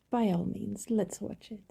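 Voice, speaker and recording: Breathy, creaky female vampire neighbor acted by a woman, coy and coaxing, unhurried ASMR roleplay. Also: medium pitch, steady tone, full character voice. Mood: playful, inviting. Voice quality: breathy, often dropping to a whisper. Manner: gentle, hesitant, coaxing. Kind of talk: intimate ASMR roleplay, soft and conversational.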